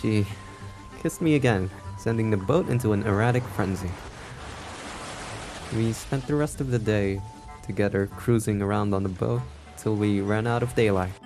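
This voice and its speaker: A man with a fairly low voice narrates a visual novel for a stream, with music playing behind him. He reads expressively and drops in playful asides. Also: normal speed, a little mellow. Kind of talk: expressive read-aloud narration with live reactions.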